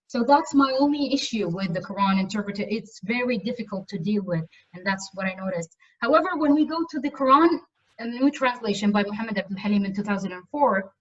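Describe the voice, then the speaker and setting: A woman's voice: on the high side, a little fast. Distant, muffled woman lecturing. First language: Arabic. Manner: hesitant, many fillers and restarts. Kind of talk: university lecture on translation. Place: lecture room.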